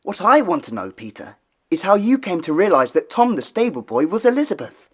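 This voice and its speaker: A woman's voice: on the low side, sing-song, a little fast, breathy edge, dry recording, clean studio sound. A woman playing an affectionately scolding teenage older brother in an audiobook dramatization. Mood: warm, curious.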